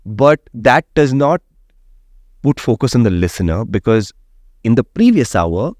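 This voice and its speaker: A man's voice: mid-range voice, sing-song, measured pace, a little mellow, no room sound, studio quality. A radio jockey, explaining his views at length in an interview and often checking in with "right?". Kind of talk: opinionated interview conversation.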